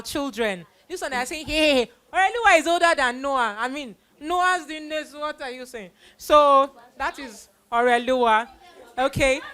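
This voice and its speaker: Middle aged lady hosting a kids church play, high bright forceful voice, animated, thanking performers informally. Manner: animated, addressing children and audience. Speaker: host presenting child performers of a church play. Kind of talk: informal stage vote of thanks.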